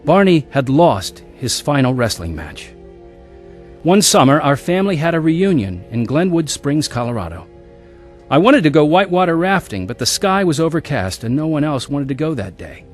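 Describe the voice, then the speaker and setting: An earnest young storyteller. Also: male voice, fairly high pitch, very animated, normal speed, faint music behind. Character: young man narrating his wild youth. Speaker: narrator and lead actor. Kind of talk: radio drama narration.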